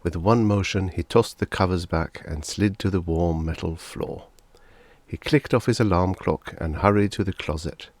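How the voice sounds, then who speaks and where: A man's voice: low voice, moderate pace, a little mellow, no room sound. A man narrating fiction as a scripted audiobook reader.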